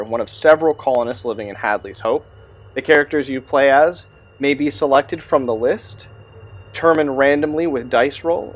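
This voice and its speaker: A man presenting a tabletop roleplaying game, reading scripted text aloud with measured, storyteller-like delivery and adding his own commentary. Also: mid-range voice, lo-fi sound. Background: music behind the voice.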